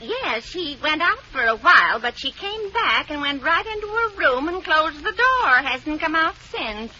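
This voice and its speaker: An actress playing a fussing, soft-hearted housemaid in an old radio sitcom. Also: female voice, high voice, big pitch swings, measured pace, heavily acted. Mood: concerned. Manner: fussing, sympathetic. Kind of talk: 1940s radio comedy acting. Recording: dull old radio broadcast.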